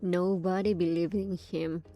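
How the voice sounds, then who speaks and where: A woman giving casual live reaction commentary on a game story, halting and musing as she thinks aloud. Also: lowish voice, level tone, mellow, faint music behind.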